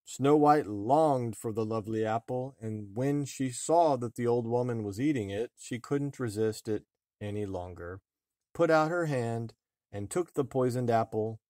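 A man reading a fairy tale aloud as an expressive storybook narrator. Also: medium pitch, measured pace, no room sound, studio quality. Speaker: storybook reader.

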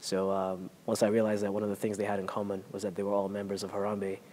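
A young Nigerian-born man talks quickly in a flat, low voice, earnest and full of small fillers. The sound rings with a long room reverb over a faint rumble. He is filmed standing in a large formal room. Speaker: MBA student. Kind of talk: testimonial to camera. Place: large elegant room indoors. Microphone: hidden mic, camera at mid distance.

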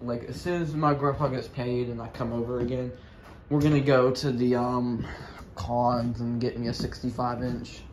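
A man's voice: medium pitch, level tone, normal speed, darkish tone. A young man giving an offhand, rambling house tour in casual vlog style, talking into a handheld phone. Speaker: vlogger. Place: walking through a house. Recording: noisy handheld phone recording with clicks.

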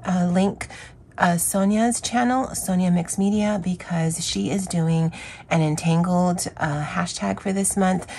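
A hoarse woman with a scratchy voice hosting a chatty, rambling coloring vlog. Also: lowish voice, darkish tone. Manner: chatty, rambling, with fillers and self-corrections. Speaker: craft channel host. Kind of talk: casual coloring vlog chat.

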